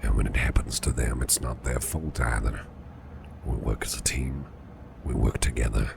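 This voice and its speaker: Caring boss , male actor: rock-bottom pitch, heavy creak, breathy half-whispers, soothing and tender ASMR roleplay delivery, close mic. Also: fairly even intonation, exaggerated voice. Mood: consoling. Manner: soothing, unhurried, repeats reassurances softly. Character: caring, reassuring businessman boss, gently flirtatious. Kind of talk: ASMR roleplay, personal attention. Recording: clearly audible background noise.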